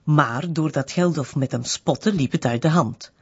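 An actor playing a formal official who sums things up in a measured way. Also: male voice, fairly high pitch, darkish tone, husky. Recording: dull lo-fi TV audio.

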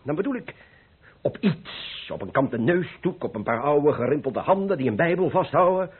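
An actor playing a cheerful, carefree older painter who philosophizes persuasively in a radio play. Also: male voice, a little high, animated, moderate pace, breathy edge. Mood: playful, passionate. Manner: exuberant, persuasive, rhetorical. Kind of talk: Dutch radio play acting. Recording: muffled old radio play recording.

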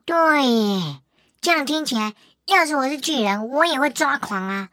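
A woman's voice: sits high, expressive, moderate pace, a bit bright, no room sound, studio quality. A woman co-hosting casually, reacting with curious questions. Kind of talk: casual chat.

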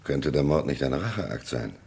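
An actor playing a probing middle-aged police commissioner in a vintage crime radio play, questioning in a deliberate, gruff manner. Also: male voice, rumbling low, sing-song, darkish tone, exaggerated voice. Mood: musing, probing. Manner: deliberate, interrogating, hesitant fillers. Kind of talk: vintage German crime radio drama acting.

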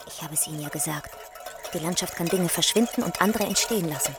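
A woman's voice: lowish voice, fast, close to an everyday voice. An actress playing a chatty, knowing local woman in a satirical radio play. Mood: knowing, sociable.

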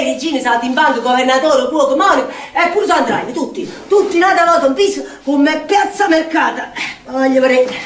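A stage actress playing a bitter, mocking, eccentric slum outcast in a rambling monologue with a Neapolitan accent. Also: female voice, high voice, close to an everyday voice. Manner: rambling, muttering, sardonic, swinging into chant. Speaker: stage actor. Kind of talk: theatrical monologue in dialect. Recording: roomy distant stage recording with noise.

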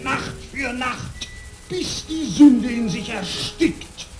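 A man's voice: extremely high pitch, lively intonation, exaggerated voice. An actor playing a menacing male dream spirit, a tormenting evil conscience, in theatrical radio play style with a commanding, incantatory delivery. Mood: relentless. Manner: slow, commanding, incantatory, with hissed whispers. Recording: dramatic music behind the voice.

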